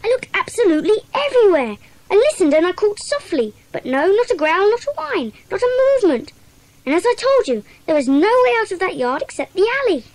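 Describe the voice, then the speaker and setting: Very high-voiced eager schoolboy sleuth. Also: female voice, big pitch swings, brisk, darkish tone, dry recording, heavily acted, low-quality recording. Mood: earnest, puzzled. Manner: earnest, detailed storytelling. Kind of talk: dramatised children's audiobook acting.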